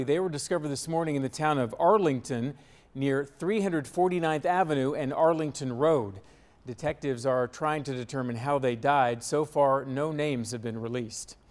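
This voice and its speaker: American male TV news anchor. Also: on the high side. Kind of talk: scripted TV news reading.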